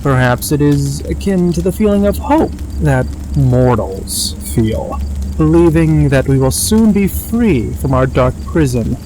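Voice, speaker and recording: A man's voice: a little high, animated, measured pace, dark tone, slight background noise, rough recording. A man playing a ghostly young dragon in an audio drama, speaking formally and with condescension to his brother. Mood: lofty, reflective.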